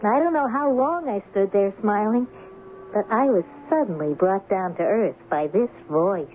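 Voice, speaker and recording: An actress playing a lively, questioning teenage high school girl in a radio drama, expressive and wry. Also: normal speed, lightly acted. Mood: breezy narrating. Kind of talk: 1970s radio drama acting with first-person narration. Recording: muffled lo-fi radio recording with hiss.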